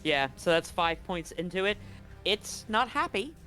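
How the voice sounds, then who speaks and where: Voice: medium pitch, big pitch swings, measured pace, pushed and bright, faint music behind. A game master narrating a live-streamed tabletop roleplaying game in an informal way, with casual swearing.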